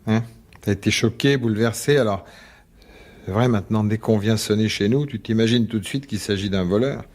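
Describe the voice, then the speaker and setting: An actor playing a placating, skeptical husband in a French radio drama, talking in a colloquial, reasoning way with some exasperation. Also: male voice, fairly low pitch, brisk, darkish tone, close to an everyday voice. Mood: doubtful, reasoning.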